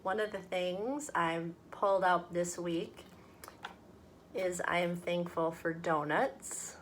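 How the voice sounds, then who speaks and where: A woman from a church talking to children and reading a storybook aloud in a deliberate, expressive way. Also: fairly low pitch, slight background noise. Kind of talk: children's read-aloud and friendly talk to kids.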